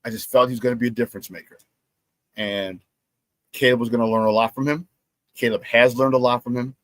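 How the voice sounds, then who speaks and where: Brief: middle-aged male sports talk host, hoarse, dry close mic, animated and repetitive. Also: medium pitch, fairly quick, dry recording. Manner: animated storyteller who repeats phrases and laughs at his own stories. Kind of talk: casual sports talk monologue.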